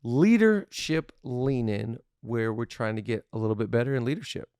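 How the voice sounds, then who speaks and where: A man's voice: mid-range voice, expressive, normal speed, a little mellow, clear, resonant tone, no echo, studio quality. A man hosting a casual podcast, talking in an upbeat, emphatic, preachy way about leadership. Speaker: podcast host. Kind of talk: casual motivational podcast talk.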